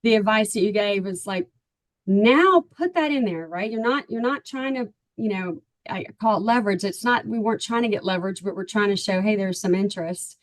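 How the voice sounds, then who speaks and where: A woman's voice: mid-range voice, brisk, dry recording. A middle-aged woman with a Texan accent, telling her story as an interview guest in a chatty, earnest way, full of ums and "right?". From Texas, USA. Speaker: parent of a baseball player, client guest.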